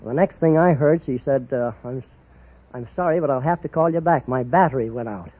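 A man's voice: mid-range voice, a little fast, breathy edge. A middle-aged man giving plain, matter-of-fact answers as a quiz show contestant. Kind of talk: casual interview answers. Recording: muffled old radio recording.

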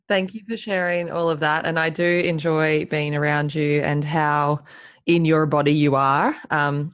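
A woman's voice: low-pitched, no room sound. A woman hosting a podcast interview, enthusiastic and effusive. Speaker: podcast host.

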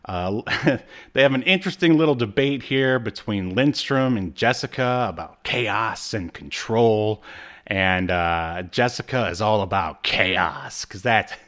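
Low-voiced man recapping casually, haltingly. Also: animated, fairly bright tone. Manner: halting, informal storytelling. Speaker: podcast co-host. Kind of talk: casual episode recap chat.

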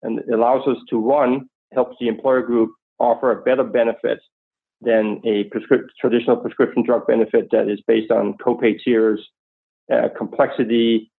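A man's voice: mid-range voice, flat delivery, normal speed, creaky, slightly rough. A Danish-accented man speaks as a podcast guest, easy and fluent, padding his thoughts with "you know". His voice arrives narrow and tinny, like a phone line. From Denmark. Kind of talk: podcast interview.

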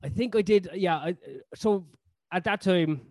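A man's voice: sits high, measured pace, darkish tone, no room sound, studio quality. A man with a Cork Irish accent, a comedian telling stories in a casual interview, rambling with lots of fillers and casual swearing. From Ireland. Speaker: comedian guest.

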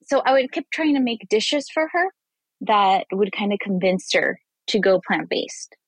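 Animated woman guest, dry close sound. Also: medium pitch, unhurried, dry recording. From Mexico, raised on the Texas border. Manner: animated storyteller who acts out quoted voices and laughs often. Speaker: vegan chef and food blogger, guest. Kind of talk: casual interview conversation.

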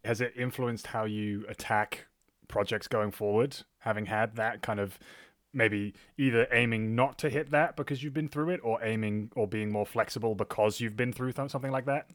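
A man with a fairly low voice takes part in a casual podcast chat. The sound is close and dry as he puts his questions to the others. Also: steady tone, normal speed, no room sound. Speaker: podcast participant asking questions.

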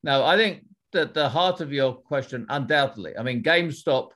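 Middle-aged guy, ex-exec answering questions, steady and explanatory, restarts a lot. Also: medium pitch. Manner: measured, explanatory, with frequent restarts. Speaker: former executive, author. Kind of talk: interview answers.

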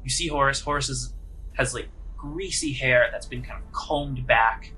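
A man narrating a tabletop game as game master, improvising descriptions in a conversational, halting way. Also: medium pitch, bright, forceful tone, faint music behind, poor audio.

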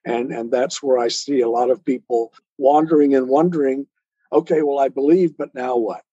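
Male interview guest, mellow and slightly husky, earnest and measured explainer, dry but dull recording. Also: medium pitch, no echo. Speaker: interview guest, author and speaker. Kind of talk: earnest explanatory interview talk on self-help and faith.

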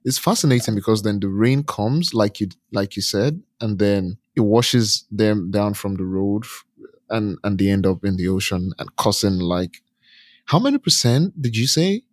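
A man's voice: fairly low pitch, expressive, normal speed, dry recording, clean studio sound. A man with a Nigerian accent chatting casually as a podcast co-host. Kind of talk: casual conversation.

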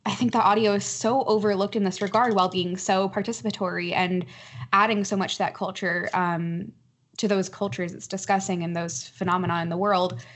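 A woman's voice: mid-range voice, fairly even intonation, fairly quick, a bit bright. A woman making her case earnestly and articulately in a critical panel discussion. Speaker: roundtable panelist.

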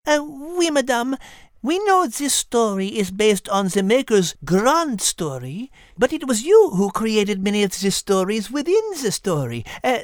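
A woman's voice: fairly high pitch, dry recording, studio quality. A woman voicing a polite, curious French character who hosts a story podcast. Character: polite, curious, refined French female host. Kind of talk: playful story podcast hosting.

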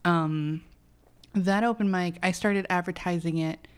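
A woman in her thirties, a singer-songwriter, chatting casually as a podcast guest, self-deprecating and quick to laugh. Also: lowish voice, clear, resonant tone.

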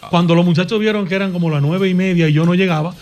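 A man's voice: high-pitched, steady tone, rapid-fire, a little mellow. A man from the Dominican Republic with a Dominican accent, telling casual, colloquial anecdotes as a guest on a radio show. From Dominican Republic, grew up in the small town of Castillo.

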